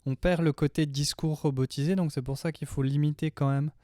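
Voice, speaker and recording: A man's voice: a little high, steady tone, fairly quick, dark tone, dry recording. A man, chatting informally and hesitantly as he hosts a home-studio tutorial on a close mic. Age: 30s. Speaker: music production tutorial host. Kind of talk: casual tutorial chat. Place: small home studio room, indoors. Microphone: close studio mic on boom arm.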